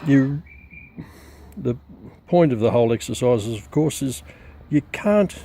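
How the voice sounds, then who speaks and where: A man giving a halting, matter-of-fact, unscripted demonstration of gear as a hobbyist. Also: medium pitch, expressive, creaky, dark tone, a little hiss or hum. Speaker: radio hobbyist presenter.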